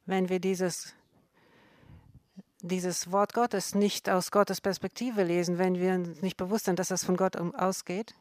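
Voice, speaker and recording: A woman with a fairly low voice explains scripture in an earnest, measured way. She speaks slowly and stops often to correct herself. The sound is close and dry, with no room around it. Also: flat delivery, a little mellow, no room sound. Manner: slow, careful, with frequent self-corrections.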